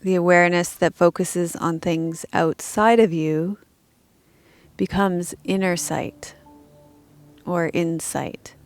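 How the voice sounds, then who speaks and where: A woman leading a guided meditation as a teacher, speaking calmly and gently in measured instructions with pauses. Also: lowish voice, no echo, soft background music.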